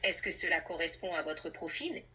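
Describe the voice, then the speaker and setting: A woman's synthetic assistant voice, husky, speaking fluently and politely as a tutor in a conversational role-play. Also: fairly low pitch, animated, normal speed, pushed and bright. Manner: polite, fluent, helpful, orderly. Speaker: AI language tutor playing an employer.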